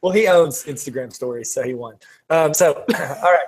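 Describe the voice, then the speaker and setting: A man hosting a comedy podcast game, bantering casually in a playful, somewhat halting way. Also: fairly high pitch.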